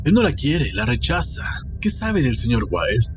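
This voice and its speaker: A man voicing a grandiose, formal gentleman, secretive and deluded, in an audio story with music behind. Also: mid-range voice, wildly swooping pitch, moderate pace. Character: proud, secretive, delusional gentleman insisting he is sane.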